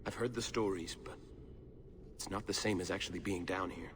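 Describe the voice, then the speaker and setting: An actor playing an earnest, awed young merchant's son in a fantasy video game, speaking sincerely. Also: male voice, deep voice, steady tone, fairly quick, close to an everyday voice. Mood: shaken, awed. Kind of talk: video game cutscene acting. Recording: noisy ambience behind the voice.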